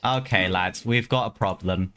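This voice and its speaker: A man's voice: sits low, moderate pace, pushed and bright, a little hiss or hum. A man giving casual, slangy live gameplay commentary as a streamer, grumbling and talking back to the game. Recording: game music behind the voice.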